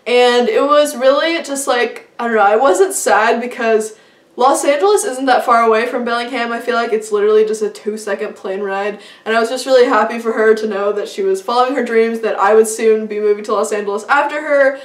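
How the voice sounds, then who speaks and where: A woman's voice: fairly high pitch, fairly quick, far from the mic. Chatty young woman vlogging in an echoey room. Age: young adult. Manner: chatty, upbeat, many "like" and "I guess" fillers. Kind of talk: casual year-recap vlog to camera. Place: small indoor room before a plain wall.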